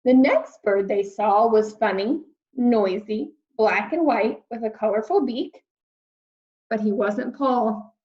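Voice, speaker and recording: A woman with a fairly high voice reads a children's story in a roomy, echoey space. She takes her time and explains things simply for little listeners. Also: darkish tone. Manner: slow, simple explaining addressed to young listeners. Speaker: read-aloud storyteller, teacher of young children. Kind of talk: expressive children's picture book read-aloud with asides. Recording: roomy echo, slightly dull sound.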